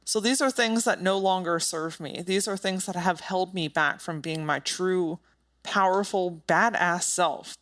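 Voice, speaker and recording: A woman with a slightly low-pitched voice talks solo as a podcast host. She delivers motivational advice directly to the listener, stressing key words. Her wording is informal and blunt. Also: fairly bright tone. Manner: emphatic, direct, informal with casual swearing.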